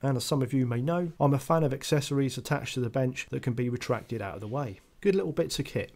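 Man, tool review voice-over, dry and matter-of-fact, mid-pitched voice. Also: lively intonation, fast, gravelly, darkish tone. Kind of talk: scripted voice-over product review.

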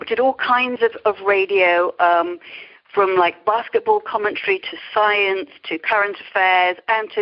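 A middle-aged woman with an English accent, telling her story in a reflective, articulate, conversational way as an interview guest. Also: medium pitch. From England. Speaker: interview guest, creativity coach. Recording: muffled lo-fi call audio.

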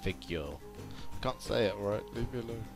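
A deep-voiced man chats casually through a video game he is hosting. He is playful, tossing out exclamations and vocal sound effects, with game music playing strongly behind him. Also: lively intonation, moderate pace. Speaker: gaming video host. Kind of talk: casual let's-play commentary.

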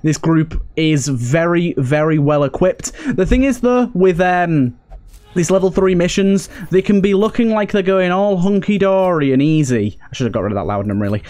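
Higher-pitched man doing game commentary. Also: expressive, normal speed, a little mellow. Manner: thinking aloud, repeats phrases for emphasis. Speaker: gaming video host. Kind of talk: casual let's play commentary.

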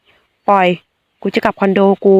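A woman's voice: measured pace, no echo, natural voice. A woman voicing a stubborn, touchy young man. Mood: pained.

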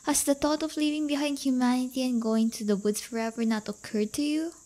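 Casting: woman as brash but loyal delinquent high school girlfriend, fairly high voice, slangy and teasing, for intimate audio roleplay. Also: steady tone, brisk, dark tone, lightly acted. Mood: playful, teasing. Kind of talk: audio roleplay acting, intimate one-sided dialogue.

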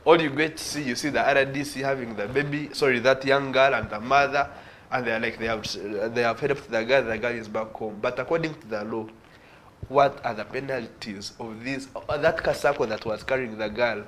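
An earnest young man with a Ugandan accent and a middle-pitched voice recounts his points on a studio panel. A lapel mic picks him up over a faint hiss. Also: steady tone, brisk, fairly bright tone, poor audio. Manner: earnest, illustrates points with hand gestures. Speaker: studio guest, youth organisation director.

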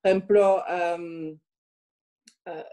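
French woman w accent being interviewed, soft dark mellow tone, kinda low, stops and starts looking for words. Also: steady tone. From France. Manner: halting, searching for words. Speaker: interviewed guest. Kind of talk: reflective interview answers.

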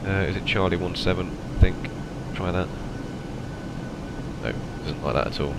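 A man with a cold and a slightly raspy voice, chatting casually through a flight simulator cockpit setup. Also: low voice, normal speed, very noisy. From England. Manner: relaxed, chatty, many ums and okays. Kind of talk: casual narrated cockpit walkthrough.

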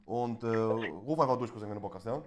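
A man's voice: lowish voice, a little fast. A man livestreaming in casual chat, his street slang sprinkled with Arabic and Turkish words. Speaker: live streamer and prank caller.

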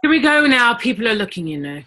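A woman's voice: on the high side, big pitch swings, fast talker, sharp tone. An actress playing a sensible, affectionate witch mother with a wry, composed manner, in a stage play table read over video call. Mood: fond, brisk. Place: living room at home, video call, webcam.

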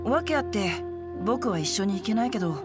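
A woman's voice: mid-range voice, animated, moderate pace, over music, natural voice. A woman voicing a refined, bookish teenage boy in an anime game, speaking with composed, polite and chivalrous phrasing. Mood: calm, confident. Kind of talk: Japanese anime game voice acting.

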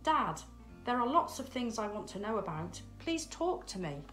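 A woman's voice: mid-range voice, big pitch swings, normal speed, fairly bright tone, echoey room, soft background music, voice pushed up. A woman voicing a curious young male penguin chick in a storybook, inquisitive and pleading. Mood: eager.

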